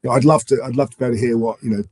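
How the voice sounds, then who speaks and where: A man with a summer cold co-hosting a talk show, sounding congested and speaking haltingly with repeated words. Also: mid-range voice, steady tone, darkish tone, husky, no echo. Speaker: podcast co-host. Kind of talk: casual discussion.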